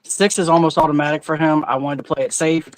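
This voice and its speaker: A man's voice: fairly high pitch, steady tone, fast, no echo, low-quality recording. A man co-hosting a sports betting podcast, casually walking through his picks with stats. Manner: laid-back, explains picks with stats.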